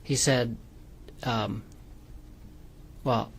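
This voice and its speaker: A man testifying as a defendant in court with a creaky voice, hesitant and stammering, often repeating his words. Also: mid-range voice, steady tone, very slow, slight background noise. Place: courtroom witness stand.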